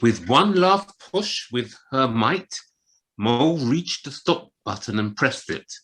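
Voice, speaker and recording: A woman acting and narrating in a children's audio play, expressive and deliberate. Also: very deep voice, heavily acted, poor audio.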